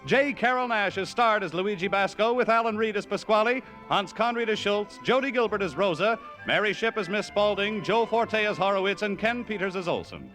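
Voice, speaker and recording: A man's voice: sits high, expressive, a little fast, few pauses, fairly bright tone, poor audio. Polished vintage radio announcer man. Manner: smooth, polished, emphatic delivery. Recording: vintage radio broadcast with music.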